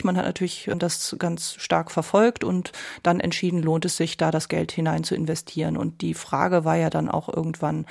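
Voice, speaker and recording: A woman's voice: low voice, vocal fry, a little mellow. A woman talking casually as an interviewee.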